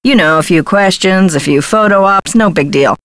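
Woman acting as a scheming female talent agent, lower-pitched, slangy, dismissive, brash, video game dialogue, close dry recording. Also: normal speed, dry recording, close to an everyday voice. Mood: slick, self-assured. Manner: slangy, glib, condescending pet names.

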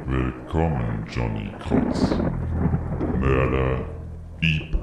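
A man's voice: rumbling low, drawn-out words, exaggerated voice. A man playing a mocking, menacing devil who welcomes sinners to hell in a radio play, speaking solemnly. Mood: mocking menace. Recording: echoey, with thunderstorm noise.

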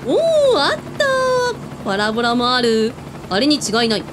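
A woman's voice: huge pitch swings, normal speed. A woman playing a brash, bossy owner who commands a mission in a radio drama, with a blustering manner. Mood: brisk. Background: music, helicopter rumble.

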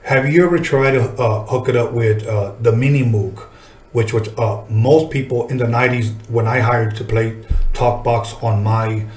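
A man's voice: medium pitch, fairly even intonation, moderate pace, darkish tone, echoey room. A middle-aged man hosting a casual interview, talking informally with plenty of swearing. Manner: informal, profane, reminiscing. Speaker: podcast host, music artist. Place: small podcast studio, indoors. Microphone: desk podcast microphone.

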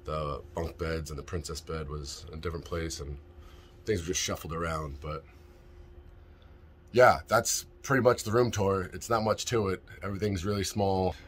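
A man in his thirties casually vlogging a tour of his tiny ship cabin, relaxed and rambling with lots of ums, filmed on a phone held at arm's length. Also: deep voice, normal speed, a little hiss or hum. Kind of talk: casual vlog room tour. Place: small crew cabin on a cruise ship. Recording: music playing under the voice. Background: background music.

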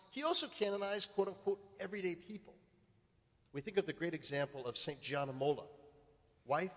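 A male priest preaching a sermon, building to emphatic, rising points. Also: high-pitched. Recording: reverberant church hall.

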